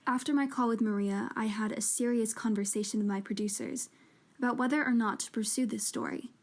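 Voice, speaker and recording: A woman's voice: on the high side, steady tone, brisk, lightly acted. An actress playing an earnest woman journalist who hosts an investigative podcast drama, speaking in a serious, scripted way. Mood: serious, measured. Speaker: podcast host.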